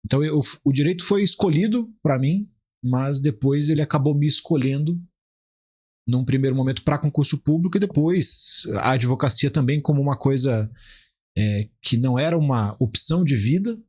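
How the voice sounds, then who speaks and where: A man's voice: medium pitch, moderate pace. A Brazilian man in his forties giving conversational, explanatory interview answers as a podcast guest, often restarting his phrases. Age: 40s. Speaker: podcast guest, criminal defence lawyer.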